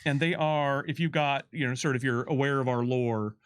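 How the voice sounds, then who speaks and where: A man's voice: medium pitch, moderate pace, clean tone. A man with a mid-range voice talks informally as a developer explaining his work. He speaks unscripted, doubling back on his sentences and feeling his way to the next point. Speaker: game developer giving commentary. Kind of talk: casual unscripted developer chat.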